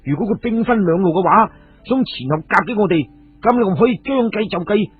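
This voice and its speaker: Man playing eager high-pitched young fighter. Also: brisk, husky, full character voice. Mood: bold. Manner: excitable, bold. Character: eager, fearless young fighter, the hot-headed youngest brother. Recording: phone-like, muffled audio with music.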